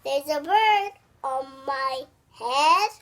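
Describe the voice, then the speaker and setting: A child voicing a worried, easily upset male elephant from a picture book, with halting, incredulous delivery. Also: high voice, expressive, background noise, full character voice. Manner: exclamatory, halting, incredulous questioning.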